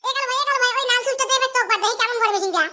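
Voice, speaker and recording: A man voicing an excitable, squabbling village character in a comedy cartoon, with a squeaky pitch-raised voice and a rural northern Bengali dialect. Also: racing, heavily acted. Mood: excited, argumentative. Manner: exclaiming, bickering, repeating phrases.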